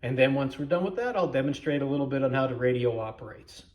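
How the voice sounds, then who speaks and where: An American man giving a casual, unscripted product demonstration in a measured, explanatory way. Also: medium pitch, lively intonation, a little mellow. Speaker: vintage radio collector presenting a video review.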